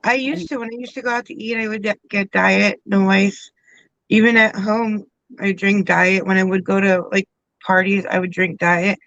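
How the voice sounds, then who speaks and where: A woman's voice: mid-range voice, fairly even intonation, moderate pace, fairly bright tone, dry recording. Easygoing woman, muffled dry sound. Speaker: livestream co-host. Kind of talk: casual livestream chat.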